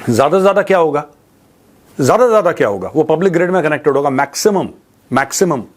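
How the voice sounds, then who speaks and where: A man's voice: on the high side, expressive, fast. A man giving an informal, opinionated news monologue, mocking and colloquial, repeating phrases for emphasis and mixing in English. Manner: mocking, colloquial, rhetorical questions, mixes in English. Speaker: host of a news commentary show. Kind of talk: opinionated informal monologue to viewers on current affairs.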